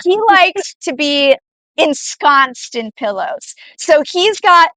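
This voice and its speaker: A woman's voice: sits high, lively intonation, takes it slowly, sharp tone, dry recording, low-quality recording. An American woman co-hosting a live show in casual chat, playful, teasing and emphatic. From born in Poland, raised in the United States.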